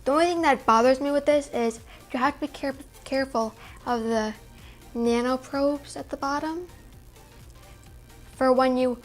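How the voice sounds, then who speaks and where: A young woman with a high voice gushes happily about her skincare favorites, keeping it casual and upbeat. She sits beside her mother in a room at home, recorded through the camera mic with faint music underneath. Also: moderate pace. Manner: enthusiastic, gushing about products. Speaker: beauty vlogger, daughter. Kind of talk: casual product review. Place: indoor home room with string lights.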